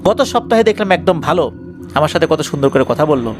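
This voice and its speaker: A man's voice: fairly high pitch, very animated, brisk. A man voicing a grieving elderly grandmother in a horror story, lamenting. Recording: music bed behind the voice.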